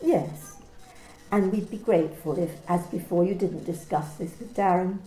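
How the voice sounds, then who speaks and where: A woman's voice: lowish voice, normal speed, warm tone, echoey room, close to an everyday voice. A woman playing a cool, condescending company manager in an amateur radio play reading. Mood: cold. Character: cold corporate woman manager, clipped and controlling.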